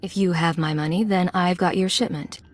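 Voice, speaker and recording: Video game secret agent, female actor: lowish voice, cool, clipped phrasing, businesslike, self-possessed. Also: fairly even intonation, moderate pace, close to an everyday voice. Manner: curt, businesslike. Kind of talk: video game character acting.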